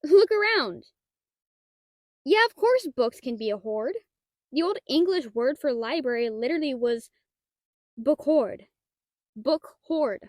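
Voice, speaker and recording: A woman's voice: high-pitched, lively intonation, a little slow, pauses often, no echo, studio quality. A woman playing a shy, nerdy half-dragon librarian in a roleplay audio drama, talking in a hesitant, flustered, stammering way. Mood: proud, nerdy. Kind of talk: roleplay audio drama, one-sided dialogue.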